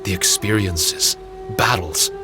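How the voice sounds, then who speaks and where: A man playing a small paper spirit in a video game, speaking earnestly in formal, measured phrases. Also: low-pitched, music in the background. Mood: troubled.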